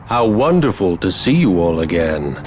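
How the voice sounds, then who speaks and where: An actor playing a smug, mocking tyrant in a video game, with a haughty, taunting, theatrical delivery. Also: male voice, on the low side, darkish tone, a little hiss or hum, full character voice. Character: suave returned male tyrant, smug and mocking.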